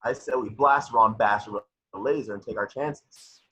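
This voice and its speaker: A man playing a blunt, hot-tempered engineer with a husky voice in an amateur table read of a sci-fi script. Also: fairly low pitch, moderate pace, bright, forceful tone, low-quality recording. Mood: agitated. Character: blunt, hot-tempered, foul-mouthed male spaceship engineer.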